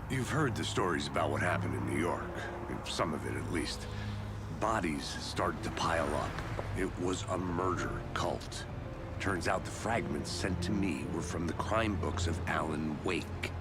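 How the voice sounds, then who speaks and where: A grim, weary male detective in a video game, with an extremely deep, low voice. He talks in a grave, confessional way. Loud music plays under his voice. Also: expressive, measured pace. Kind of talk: game voice acting.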